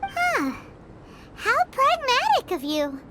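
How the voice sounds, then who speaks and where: Game sidekick: tiny chirpy fairy girl, extremely high and squeaky, swooping wildly, cheeky and exclamatory. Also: huge pitch swings, takes it slowly, noisy background, exaggerated voice. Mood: teasing, flattering. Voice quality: squeaky, childlike. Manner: exclamatory, refers to herself by name. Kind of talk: scripted video game dialogue.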